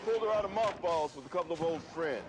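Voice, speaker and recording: An actor playing a gruff, dry and authoritative spy agency director in a video game. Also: male voice, fairly high pitch, animated, fast talker, background noise, lightly acted. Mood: wry, confident. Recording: loud music and battle effects behind.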